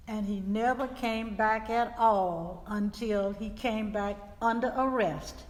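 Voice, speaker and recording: Grieving 1870s widow female performer, measured and solemn, higher-pitched, stage reading in a resonant hall. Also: a little hiss or hum. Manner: slow, deliberate, solemn storytelling. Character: grieving widow recounting her husband's murder, 1875 Mississippi. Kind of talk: dramatic reading of historical testimony. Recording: reverberant hall.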